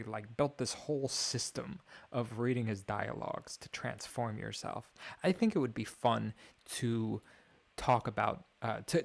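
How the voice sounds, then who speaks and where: A young man streaming alone, thinking out loud in a wry, mock-grand way. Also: mid-range voice, vocal fry. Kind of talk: rambling livestream monologue.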